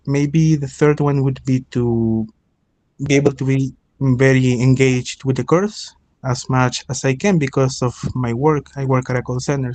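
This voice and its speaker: A man's voice: mid-range voice, darkish tone. A man in his twenties from El Salvador introducing himself to his English class, hesitant with fillers. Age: 20s. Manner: hesitant, many fillers and restarts. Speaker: student.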